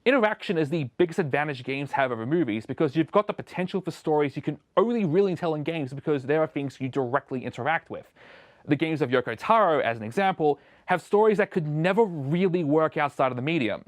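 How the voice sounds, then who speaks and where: A man's voice: a little high, lively intonation, fast, no echo. A man presenting a scripted opinion video essay as a show host, recorded as a close voice-over.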